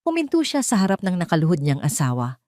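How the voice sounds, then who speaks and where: A woman's voice: fairly low pitch, huge pitch swings, quick pace, a little mellow, dry recording, clean studio sound. A woman narrating a scripted romance and revenge audio drama in a dramatic storytelling style.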